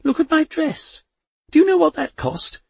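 A man's voice: extremely high pitch, sing-song, normal speed, gravelly, no echo, pitched above the performer's own voice, full character voice. A man voicing a feisty, foul-mouthed older aunt who grumbles and demands answers in an audiobook. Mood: indignant. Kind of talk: audiobook character voice.

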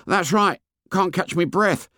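A man's voice: sits high, a little slow, sharp tone, no room sound, studio quality, pitched above the performer's own voice. A man voicing a fat, anxious schoolboy with asthma who chatters eagerly in ungrammatical speech. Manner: eager, working-class boy's grammar.

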